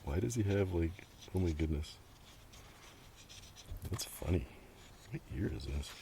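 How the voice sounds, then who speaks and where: A man's voice: sits low, expressive, a little slow, vocal fry, mellow. A man giving a casual, rambling ASMR show-and-tell outdoors, half murmuring and drifting into whispers. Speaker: host of a collection show-and-tell. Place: outdoors in a gentle breeze. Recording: outdoor noise, light breeze. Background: breeze, paper rustling and page flipping.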